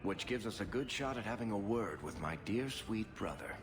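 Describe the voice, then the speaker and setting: Man playing a sardonic dwarf merchant, very deep, dry humor, game score underneath. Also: animated, moderate pace, close to an everyday voice. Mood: wry. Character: wry, fast-talking male dwarf merchant. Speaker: video game character. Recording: game cutscene with music behind.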